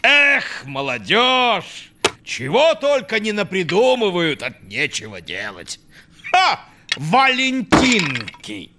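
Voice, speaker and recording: High-voiced grumbling old cartoon creature. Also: male voice, wildly swooping pitch, takes it slowly, bright, forceful tone, a little hiss or hum, full character voice. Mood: scornful. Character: grumbling, mocking older male cartoon creature. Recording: music behind the voice.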